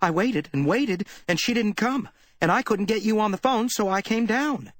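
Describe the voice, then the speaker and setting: A woman's voice: mid-range voice, normal speed, no echo, studio quality, voice pushed up, natural voice. A man reading an audiobook, voicing a worried young woman secretary in a raised, anxious, tart voice.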